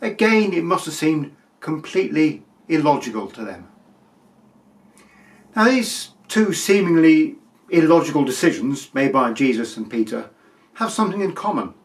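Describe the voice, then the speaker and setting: A man giving a sermon as a preacher, explaining things with emphatic pauses and repetition. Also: on the high side, sing-song.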